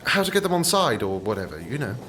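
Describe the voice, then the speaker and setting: An actor playing a nervous, chatty and polite would-be novelist in a radio play, hesitant and apologetic. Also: male voice, medium pitch, very animated, quick pace, bright, forceful tone, a little hiss or hum. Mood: nervous chattiness. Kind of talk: naturalistic radio play acting.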